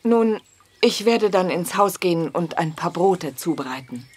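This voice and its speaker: An actress playing an anxious, gentle, hopeful lady of the house in a German audio drama, polite and earnest. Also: lowish voice, animated, a little slow, husky.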